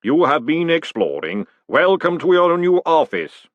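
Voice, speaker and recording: A man voicing a huge male clay golem who speaks slowly, calmly and ponderously. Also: no echo, highs cut off. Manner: slow, booming, calm.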